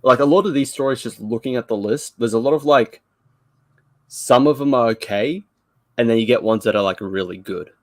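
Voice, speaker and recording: A man's voice: mid-range voice, fairly quick, dry recording. A man co-hosting a casual review chat, talking in a rambling, informal and repetitive way. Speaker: show co-host.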